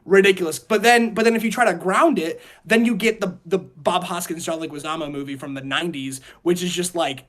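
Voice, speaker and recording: A man co-hosting a casual podcast discussion, talking in an animated storytelling way and acting out imagined reactions. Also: high-pitched, fairly quick, few pauses. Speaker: podcast co-host.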